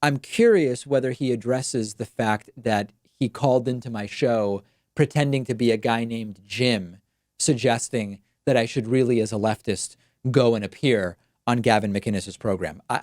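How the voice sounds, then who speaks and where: A political commentary host, a man who grew up in Argentina with Spanish as his first language. He talks through ideas in a measured, methodical way, laying out the pros and cons. His voice sits at an ordinary middle pitch and is recorded dry and close. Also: darkish tone, no echo. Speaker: political talk show host.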